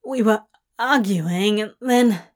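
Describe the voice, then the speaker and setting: A woman's voice: mid-range voice, slow, lightly acted. A woman voicing a distraught male demon in a story reading, breathless, emotional and desperate. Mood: breathless, dazed. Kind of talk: dramatic audiobook-style fiction reading.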